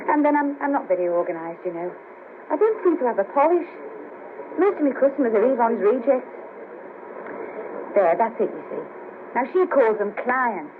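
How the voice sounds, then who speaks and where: An actress playing a chatty, bawdy, self-deprecating sex worker in a 1970s radio play, speaking in an earthy, colloquial way. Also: female voice, fairly high pitch. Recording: noisy patchy 1970s radio cassette, narrow band.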